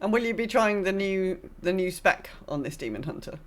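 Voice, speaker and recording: A woman's voice: medium pitch, animated, moderate pace. A woman co-hosting a casual gaming podcast, speaking in short interjections and questions. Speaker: podcast co-host.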